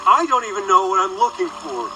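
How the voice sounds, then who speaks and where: Brief: actor as a nervous companion, halting and uneasy. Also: medium pitch, expressive, music in the background, close to an everyday voice. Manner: uneasy, hesitant.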